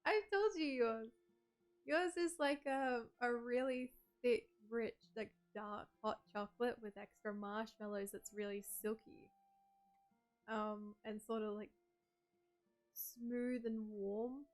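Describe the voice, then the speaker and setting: A woman's voice: fairly high pitch, takes it slowly, faint music behind. A woman chatting casually on a livestream, rambling with lots of "like" and "um" and laughing often as she reads out viewer messages.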